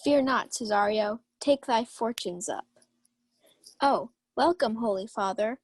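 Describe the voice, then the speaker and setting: A proud, passionate young Countess, played by a young woman in a high voice. She is imperious, acting Shakespeare for radio in a dry recording. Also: measured pace, husky, dry recording. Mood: insistent. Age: young adult.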